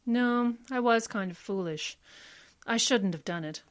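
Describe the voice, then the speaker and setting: An actress playing a confident, shrewd defense attorney in an old-time radio drama. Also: female voice, fairly high pitch, a little slow, natural voice. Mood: playful, apologetic.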